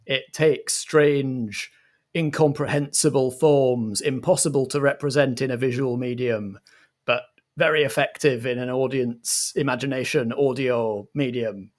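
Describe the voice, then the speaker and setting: A man narrating a tabletop game as game master, with theatrical, deliberate phrasing. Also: mid-range voice, steady tone. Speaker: game master, playing a director.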